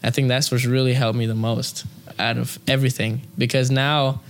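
A young man talking about his singing in a relaxed interview. His voice sits at an average male pitch. He rambles and keeps dropping "you know" and "um" between thoughts. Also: normal speed. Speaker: opera singer, interview guest. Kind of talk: casual conversational interview.

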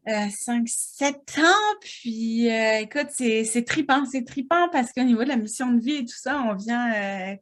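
A chatty woman with a Québécois accent, co-hosting a relaxed podcast. Her voice sits a bit high, and she giggles often and fills her talk with little fillers. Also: a little slow. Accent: Québécois French. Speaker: podcast co-host. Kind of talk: casual chat.

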